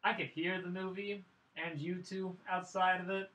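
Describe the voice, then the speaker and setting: Very bright young man in echoey room. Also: high voice, little pitch movement, normal speed. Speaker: podcast co-host. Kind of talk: casual group chat. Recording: echoey room, faint noise.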